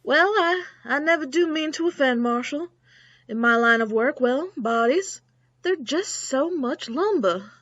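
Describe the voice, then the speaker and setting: Lady doing a western radio play town doc, high voice, wry and clinical, bit muffled sound. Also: lively intonation, unhurried, a bit bright, no room sound. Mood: wryly apologetic. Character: wry, matter-of-fact frontier town doctor. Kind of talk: Western radio drama acting.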